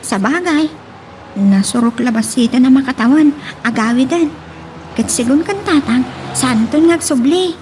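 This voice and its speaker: A woman's voice: high-pitched, lively intonation, moderate pace, darkish tone, slight background noise, rough recording. A woman with an Ilocano Filipino accent playing a young, dutiful, soothing student daughter in an audio drama. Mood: hopeful. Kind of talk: Ilocano radio-style drama acting.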